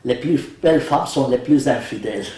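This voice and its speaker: Animated older man, Arabic native speaker. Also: mid-range voice, normal speed. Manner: animated, joking, laughs often, many hesitations. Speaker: Quran translator being interviewed. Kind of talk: casual interview conversation. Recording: roomy, mic at a distance.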